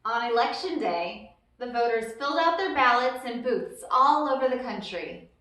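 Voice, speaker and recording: A woman reading a children's picture book aloud as a storyteller, with deliberate, emphatic phrasing and brief asides. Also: on the high side, expressive, pushed and bright. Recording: very echoey room, distant mic, noisy background.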